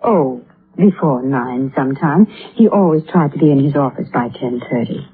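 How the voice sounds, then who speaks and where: Guarded wife on tinny old radio. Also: female voice, extremely low pitch, expressive, normal speed, a little hiss or hum. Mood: uneasy, worried. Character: reserved, worried well-to-do wife of a missing businessman. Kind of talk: 1950s radio drama acting. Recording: muffled, narrow-band old radio broadcast.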